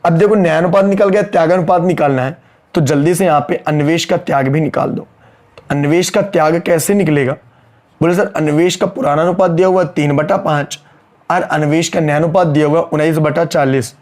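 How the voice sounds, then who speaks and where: A man's voice: a little high, fairly even intonation, darkish tone. A man teaching an accountancy class online in Hindi mixed with English terms, working sums aloud and scolding his students, his throat sore and strained. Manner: brisk, scolding, repeats key lines.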